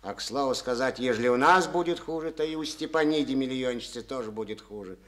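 A man's voice: mid-range voice, fast talker, fairly bright tone, close to an everyday voice. An actor playing a resentful, stubborn collective-farm chairman in a studio radio play, speaking in a folksy way full of proverbs. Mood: bitter.

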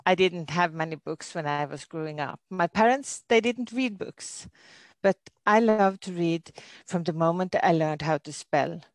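A middle-aged Norwegian woman, a library director, giving a webinar talk in careful, slightly halting English with a Norwegian accent. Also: fairly low pitch, dry recording. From Norway. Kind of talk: webinar presentation. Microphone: computer mic on a video call.

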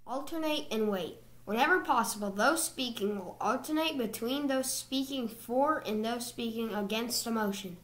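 Woman narrator, scripted slide voice-over, even and measured, a touch high. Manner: even, measured reading of rules.

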